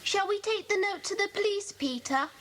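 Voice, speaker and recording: A curious young schoolgirl in a children's mystery drama. Her voice is extremely high and swoops in a lively way, over faint tape noise. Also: normal speed. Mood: inquisitive. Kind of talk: children's audio drama acting. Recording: faintly noisy 1980s cassette.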